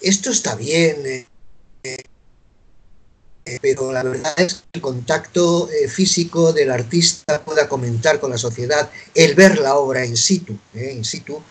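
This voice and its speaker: A man's voice: medium pitch, unhurried, husky. A man who heads an artists' association, speaking earnestly in a panel discussion with frequent hesitations and fillers.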